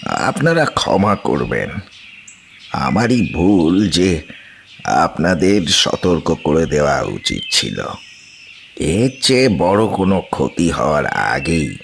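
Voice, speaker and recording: Man as a deep, gravelly, solemn gentleman. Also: sing-song, a little hiss or hum, full character voice. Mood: grave, resigned. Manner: grave, measured. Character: solemn, apologetic gentleman host of a haunted palace. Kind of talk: cartoon horror story acting.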